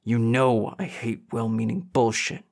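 A man's voice: medium pitch, steady tone, a little slow. A man voicing an angry, hardened teenage boy, bitter and wounded, with a growling, demanding delivery in an audiobook. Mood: fierce, hurt.

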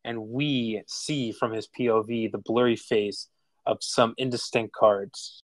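A man's voice: fairly low pitch, steady tone, a little slow, no room sound, poor audio. Man reading screenplay directions, dry sound. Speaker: stage directions reader. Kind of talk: scripted narration.

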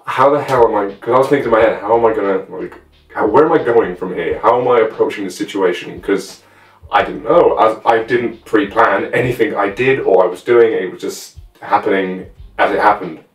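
A man's voice: fairly low pitch, brisk, recorded from a distance. A man in his twenties chatting casually and telling stories as a guest, recorded in a small room. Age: 20s. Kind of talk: casual chat. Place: small bedroom indoors. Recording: roomy echo, off the mic, faint music.

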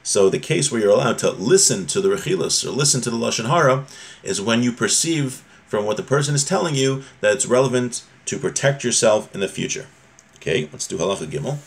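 A man's voice: medium pitch, animated, a little fast, creaky. A man in his thirties with an American accent, giving an informal religious lesson in an explanatory, conversational manner. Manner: explanatory, conversational, switching between recitation and plain paraphrase. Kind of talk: informal lesson, reading Hebrew text aloud and explaining it. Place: small room at home, indoors.

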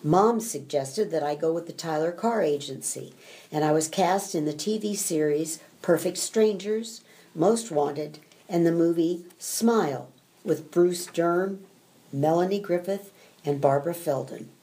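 Low, mellow older American woman narrating. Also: a little slow. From United States. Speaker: retired actress telling her career story. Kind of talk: scripted autobiographical narration.

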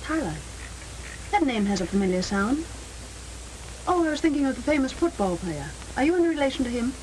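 An actress playing a sweet, playful young American younger sister in a 1930s film, on a hissy, crackly soundtrack. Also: female voice, sits high, big pitch swings, a little fast, a little mellow. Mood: friendly, curious. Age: young adult.

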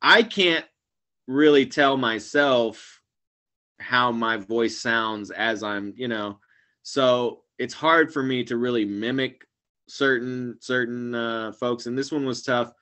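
A man in his forties, a guest actor and comedian, chatting casually over a webcam call from a small room at home, rambling and trailing off quietly at the ends of phrases. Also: mid-range voice, takes it slowly. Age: 40s. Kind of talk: casual video-call chat. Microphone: webcam video call.

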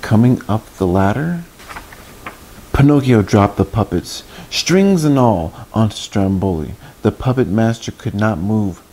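A man's voice: lowish voice, lively intonation, unhurried, mellow. A man in his thirties reading a picture book expressively to children, with asides to the listeners. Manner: slips in asides and questions to young listeners. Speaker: children's story reader, host. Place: small indoor room. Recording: noticeable background noise.

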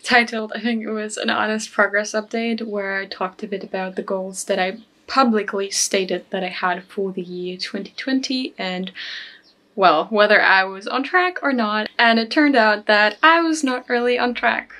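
A young woman in her twenties from Germany, chatting casually to camera about her goals, a little self-deprecating and quick to laugh. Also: medium pitch, fairly even intonation, pushed and bright. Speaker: language-learning vlogger. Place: small bedroom, indoors. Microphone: camera at desk distance.

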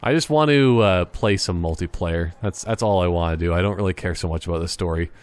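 A man with a fairly low voice gives casual gaming commentary. He rambles and jokes, often halting mid-thought. The sound is dry, with little room echo. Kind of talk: casual joking gameplay commentary.

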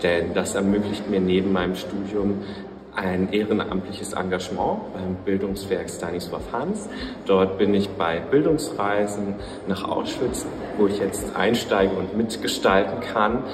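A young man with a deep voice introduces himself from some distance in an echoing hall. Music is clearly audible behind him. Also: unhurried. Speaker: history student. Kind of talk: self-introduction to camera. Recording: reverberant hall, distant microphone. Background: background music.

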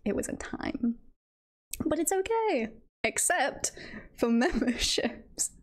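A woman livestreamer chatting casually and telling stories in a giggly, self-deprecating, halting way. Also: sits high, very animated. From United Kingdom. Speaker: livestreamer, VTuber host. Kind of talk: casual livestream chat, storytelling.

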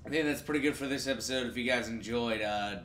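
A man's voice: medium pitch, fast, a bit bright, roomy sound, a little hiss or hum. A man hosting a gaming video with casual co-op commentary, sometimes reading game text aloud.

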